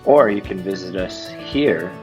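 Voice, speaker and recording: A man's voice: sits low, expressive, measured pace. A man reads scripted promotional narration as an announcer for a tutorial. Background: music.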